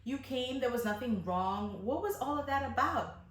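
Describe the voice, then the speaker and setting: A woman's voice: high voice, animated, normal speed, slight background noise. A woman telling a personal story casually and expressively, with slangy asides to viewers, rhetorical questions and acted-out bits of dialogue. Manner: chatty and slangy, addressing viewers directly. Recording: echoey, reverberant room.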